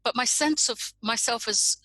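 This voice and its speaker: A woman's voice: on the high side, moderate pace, sharp tone, no room sound. A middle-aged woman from Indonesia, a guest in a reflective conversation, speaking thoughtfully as she searches for her words.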